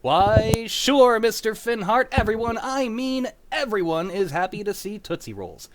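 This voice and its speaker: A man playing a wholesome, chipper pitchman in a 1940s-style radio commercial. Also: expressive, a little slow, noisy background. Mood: chipper salesmanship.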